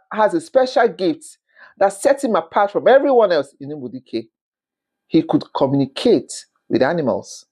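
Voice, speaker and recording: A storyteller narrating a scripted folktale in an expressive, dramatic manner, with the occasional stumble over words. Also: medium pitch.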